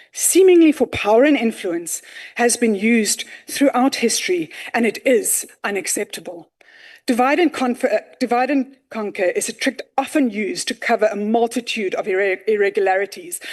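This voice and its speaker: A South African woman, a member of parliament, speaking formally and assertively in a debate and raising points of order. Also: fairly high pitch.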